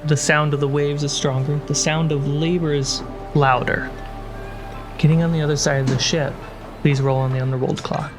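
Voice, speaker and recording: A man narrating a tabletop role-playing game as game master, describing scenes in short phrases with pauses. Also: fairly high pitch, little pitch movement. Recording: prominent music bed behind voice. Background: background music score.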